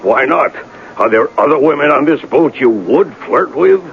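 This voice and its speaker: An actor in a 1970s radio drama playing a pompous, hot-tempered, jealous fiancé with formal, indignant speech. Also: male voice, mid-range voice, lively intonation, normal speed, close to an everyday voice. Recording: muffled, noisy 1970s radio recording.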